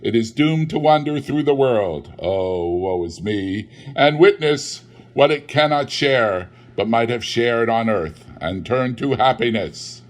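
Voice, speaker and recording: A man voicing the ghost of a dead old miser, weighed down by chains, speaking slowly and mournfully. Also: mid-range voice, expressive. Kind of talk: audiobook character voice.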